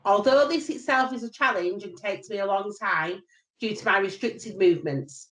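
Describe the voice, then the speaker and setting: A woman's voice: medium pitch, moderate pace, far from the mic. A woman whose first language is British Sign Language speaks through a communication aid with a synthesized voice, reading a prepared statement on a panel call. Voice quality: even, synthetic delivery. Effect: synthesized speech from a communication aid. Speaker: panel participant. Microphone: video call. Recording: roomy echo.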